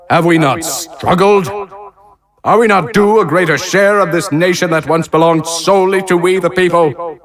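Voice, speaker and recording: An actor playing a proud, militaristic consul, delivering theatrical political oratory in a declamatory, stately way. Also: male voice, on the high side, natural voice. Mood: indignant. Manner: declamatory, rhetorical, stately emphasis. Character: proud, militaristic male consul rallying his troops, grandiose and defiant.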